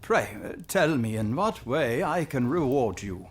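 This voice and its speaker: A man's voice: medium pitch, big pitch swings, unhurried. A man playing a haughty, lovelorn king in an audio drama, with a pompous, formal and exclamatory manner. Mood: relieved, magnanimous. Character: haughty, theatrical, lovelorn male European king.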